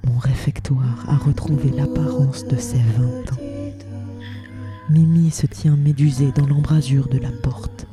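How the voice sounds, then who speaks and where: Hushed woman narrator with even delivery. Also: deep voice. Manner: measured, short poetic phrases. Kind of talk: scripted literary audio fiction narration. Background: music.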